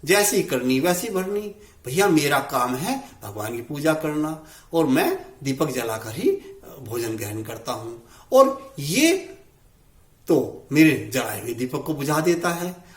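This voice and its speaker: Man as an earnest village priest, very animated. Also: on the high side, slow, reverberant. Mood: resigned, earnest. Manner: earnest, complaining. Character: devout male village priest, dutiful, aggrieved.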